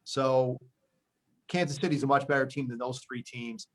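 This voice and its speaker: Man, sports host, medium pitch, conversational commentary. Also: fairly even intonation, normal speed, low-quality recording. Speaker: sports show host and pundit.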